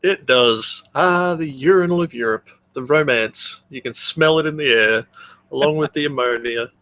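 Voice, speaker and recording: A man's voice: medium pitch, moderate pace, fairly bright tone. A man co-hosting a comedy podcast with casual banter, wry, blunt and happy to swear. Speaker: podcast co-host.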